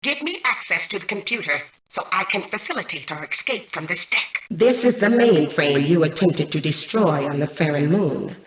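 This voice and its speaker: A woman playing a cold, imperious mainframe AI in a video game, menacing and calculating, with formal, commanding, measured robotic delivery. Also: lowish voice, close to an everyday voice. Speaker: game antagonist turned ally. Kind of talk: scripted video game voice acting. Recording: distant, echoey processed game audio.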